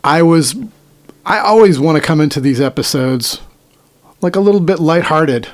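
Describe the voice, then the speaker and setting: A middle-aged man with a faint Midwestern accent, co-hosting a casual podcast and talking in a rambling, self-deprecating way with halting restarts. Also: a little high. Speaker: podcast co-host, musician. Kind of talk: casual chat.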